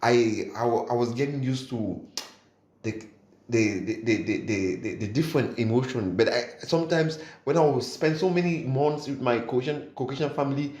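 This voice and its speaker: A man talks at a middling pitch in a roomy, echoey recording. His podcast chat is loose and informal, halting as he repeats words and throws in "man" and "bro". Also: darkish tone. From Cameroon and Germany. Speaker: podcast speaker. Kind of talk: casual chat.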